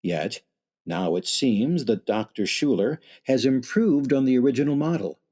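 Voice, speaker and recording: A grandiloquent radio-drama announcer played by a man, narrating with ominous formality. The voice is medium in pitch with a husky, slightly rough texture. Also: animated, normal speed, mellow, close to an everyday voice. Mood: ominous, knowing. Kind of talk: old-time radio scripted narration.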